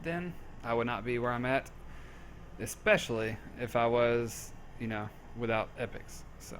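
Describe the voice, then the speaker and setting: A man's voice: medium pitch, fairly even intonation, unhurried, slight background noise. Joking man with a Southern accent. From southern United States. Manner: joking, easygoing. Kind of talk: casual group Q&A.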